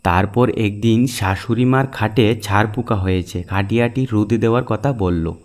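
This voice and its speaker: A man's voice: lowish voice, normal speed. A man reading scripted narration as the storyteller of a folk tale. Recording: music behind the narration.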